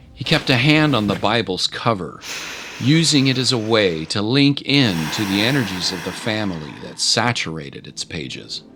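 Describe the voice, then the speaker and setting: Low-voiced guy narrating a fantasy adventure story, measured and deliberate, music behind him.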